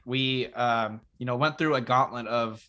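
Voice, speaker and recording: Raspy-voiced man hosting a sports chat. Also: mid-range voice, unhurried. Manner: relaxed, rambling, filler-heavy. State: raspy after illness. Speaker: podcast co-host.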